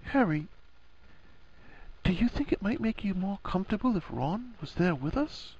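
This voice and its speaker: A man plays a young wife in a story reading, her voice lifted high and swooping expressively. She is earnest and gentle, coaxing and reassuring as she speaks. The sound is dry and a little dull. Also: sing-song, normal speed, breathy edge, exaggerated voice. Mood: tender, reassuring.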